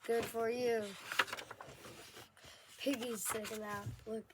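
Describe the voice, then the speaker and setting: Slow, halting woman reading a picture book. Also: on the high side, little pitch movement, heavy background noise. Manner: halting, word-by-word reading with stumbles and self-corrections. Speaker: story reader. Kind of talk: picture book read-aloud.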